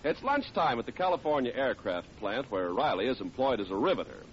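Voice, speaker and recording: A man's voice: mid-range voice, big pitch swings, fairly quick, a little hiss or hum. A man with an American accent announcing in the scripted style of a 1940s radio advertisement and show introduction. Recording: dull vintage radio broadcast.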